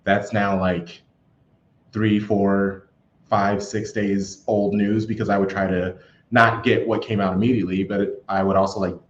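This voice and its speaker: A man's voice: lowish voice, little pitch movement, a little slow. A man guesting on a podcast, telling his story casually, with a dull, echoey and noisy recording. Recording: echoey room, dull sound, audible noise.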